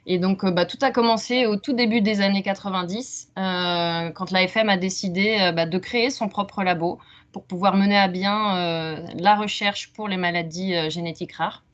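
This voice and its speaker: A woman's voice: medium pitch, steady tone, moderate pace. Muffled woman presenter, hesitant and conversational. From Franche-Comté, France. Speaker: researcher, doctoral student presenting. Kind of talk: informal online science presentation. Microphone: video call microphone. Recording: muffled video-call audio.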